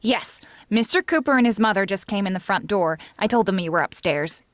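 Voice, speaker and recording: A woman's voice: mid-range voice, animated, a little fast, slight background noise, close to an everyday voice. A woman playing a loyal, matter-of-fact housemaid in an old-time radio comedy. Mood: helpful. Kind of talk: 1940s radio comedy acting.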